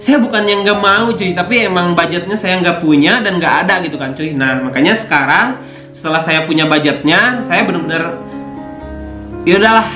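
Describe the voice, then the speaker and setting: A man's voice: high-pitched, moderate pace, bright, forceful tone. A young man casually chatting to camera about his motorbike vlogging gear, full of slangy fillers. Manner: slangy, keeps saying "cuy", many fillers. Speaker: motovlogger YouTuber. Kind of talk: casual unboxing chat to camera. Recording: echoey room with guitar music.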